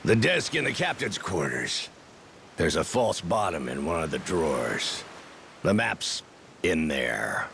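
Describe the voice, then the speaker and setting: A man playing a cowardly captured pirate captain in a video game, pleading and bargaining haltingly for his life. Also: low-pitched, big pitch swings, gravelly, a bit bright, slight background noise, heavily acted. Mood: nervous bargaining. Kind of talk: video game cutscene acting.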